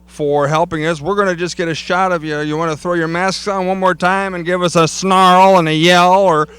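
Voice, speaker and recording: A man's voice: sits high, steady tone, moderate pace, a bit bright, smooth. A man hosting outdoors on a wooded trail, chatting and joking with guests. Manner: jokey, chatty. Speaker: host. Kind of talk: casual chat.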